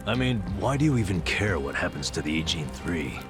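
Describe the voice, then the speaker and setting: Bitter worn-out game character, low voice that swings with feeling, blunt, music and rain behind. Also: male voice, sing-song, a little fast. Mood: grim pleading. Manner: blunt, brooding, cynical. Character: bitter, guilt-ridden, world-weary male friend, gruffly protective. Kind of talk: dramatic video game voice acting.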